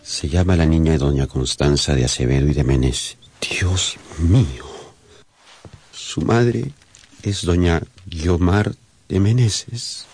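A man's voice: very deep voice, slow pace, a little mellow, breathy edge, exaggerated voice. An actor playing a grave, shaken nobleman magistrate reading aloud in a radio drama, formal and astonished. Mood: stunned, grave.

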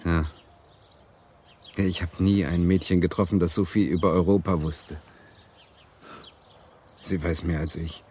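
An actor playing a wry, self-doubting Swedish literature lecturer who narrates a radio drama in a reflective, deliberate way. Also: male voice, low-pitched, level tone, mellow, slight background noise. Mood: fond. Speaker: narrator and lead character.

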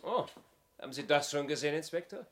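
A man's voice: a little high, expressive, fast talker, close to an everyday voice. An actor playing an inspector's observant male assistant in a 1970s radio play, speculating in a dry, wry manner. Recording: noisy old radio play recording.

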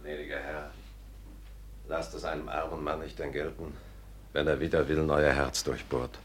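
Very deep-voiced, grave soldier conspirator. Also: male voice, flat delivery, normal speed, slight background noise. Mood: solemn, sorrowful. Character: gruff conspirator posing as a humble returned soldier. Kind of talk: classic German radio drama acting.

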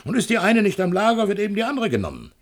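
A man's voice: high voice, huge pitch swings, rapid-fire, heavily acted. An actor playing an evasive, talkative shopkeeper in a German radio play, chatty and patronizing as he placates a customer.

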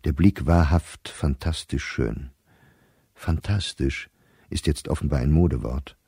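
A middle-aged scholar setting down his diary in a radio drama. His voice is very low, dark and mellow, recorded close and dry. He speaks in measured, articulate phrases with an embittered, sardonic edge and a watchful eye for detail. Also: vocal fry, husky, no echo, full character voice. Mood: reflective.

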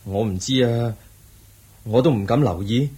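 A man's voice: mid-range voice, a little slow, dark tone, breathy edge. A man with a Hong Kong Cantonese accent playing a brooding young prince disguised as a cook in a radio drama, earnest and plaintive.

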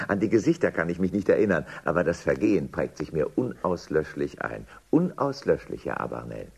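An actor playing a smug, menacing boss of a dystopian organisation in a radio drama, speaking with theatrical, drawn-out emphasis. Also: male voice, deep voice, big pitch swings, a little mellow, heavily acted. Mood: sardonic, condescending. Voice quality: gravelly, husky. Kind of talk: German radio drama acting.

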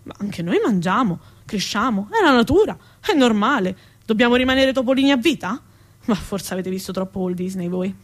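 A woman's voice: medium pitch, expressive, brisk, natural voice. A woman performing a comic theatrical monologue as an indignant, sarcastic, foul-mouthed female rat who sees herself as a proper lady. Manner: ranting, sarcastic, emphatic.

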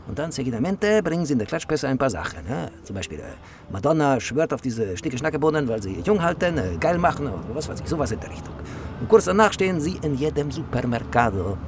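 A man's voice: mid-range voice, big pitch swings, fast talker. An actor playing a slick, rambling wheeler-dealer in a radio crime drama, peppering his talk with Spanish interjections. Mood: scheming, excited.

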